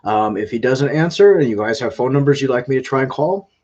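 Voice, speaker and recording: A man hosting a casual live stream, talking in a halting way with frequent filler sounds. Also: mid-range voice, expressive, a little mellow, muffled recording. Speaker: scam-baiting live streamer.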